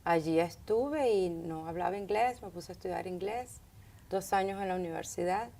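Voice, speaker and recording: A middle-aged woman from Caracas with a Venezuelan Spanish accent, telling her story in conversational interview answers with halting, thoughtful pauses. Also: lowish voice, mellow. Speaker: interview guest, entrepreneur. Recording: clearly noisy background ambience.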